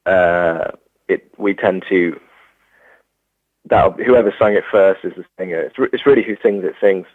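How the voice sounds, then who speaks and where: A man's voice: deep voice, measured pace, no echo. A young English man, a musician, talking hesitantly in an interview with many fillers and restarts. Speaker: musician guest. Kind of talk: casual interview. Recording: tinny phone-like sound.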